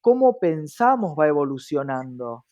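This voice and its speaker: A man's voice: on the high side, big pitch swings, a little slow, darkish tone. A man with a Rioplatense Argentine accent giving reflective, explanatory interview answers with earnest repetition and rhetorical questions. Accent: Rioplatense Argentine Spanish. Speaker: interview guest, diversity specialist. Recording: slightly dull, lo-fi sound.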